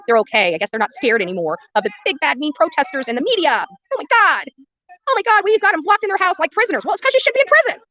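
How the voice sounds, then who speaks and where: A woman's voice: high voice, bright, forceful tone, highs cut off. An American woman ranting to livestream viewers in a blunt, combative way, repeating phrases for emphasis. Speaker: livestream host and protest activist.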